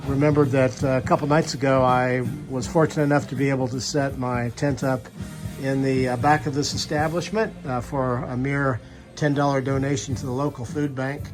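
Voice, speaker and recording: Older man, hiker vlog, mid-pitched voice, relaxed and halting with uhs, phone at arm's length outdoors, music under it. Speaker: long-distance hiker vlogger. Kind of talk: casual vlog to camera. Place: outdoors in a small town.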